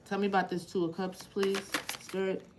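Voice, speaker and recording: A woman's voice: mid-range voice, little pitch movement, normal speed, noisy background. A Haitian-accented woman from Haiti doing a live tarot reading, casual and profane, asking the cards questions aloud as she thinks. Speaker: tarot reader.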